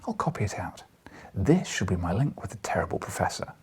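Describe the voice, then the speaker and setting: A man's voice: low-pitched, sing-song, a little fast, husky, in a whisper, heavily acted. A man voicing an eager, polite young newspaper reporter in an audiobook, earnest and a little hesitant. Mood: puzzled, hopeful. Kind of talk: audiobook character dialogue.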